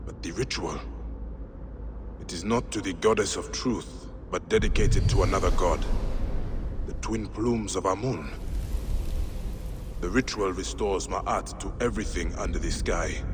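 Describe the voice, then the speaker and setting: Bayek, a stern warrior with a very deep, low voice, speaks with a dry, teasing calm. Clear ambient noise hums under the game audio. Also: male voice. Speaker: game protagonist. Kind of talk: video game cutscene acting.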